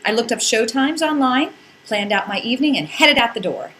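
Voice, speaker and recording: A woman's voice: a little high, animated, brisk, fairly bright tone, a little hiss or hum. A woman narrating a scripted first-person comic story in a playful, wry, theatrical way with dramatic emphasis. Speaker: podcast narrator reading a blog.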